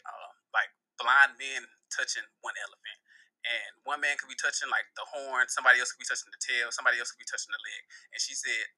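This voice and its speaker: A man's voice: mid-range voice, sharp tone, poor audio. A Haitian-American man, a spiritual practitioner, chatting casually and animatedly in an interview, swearing freely. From United States.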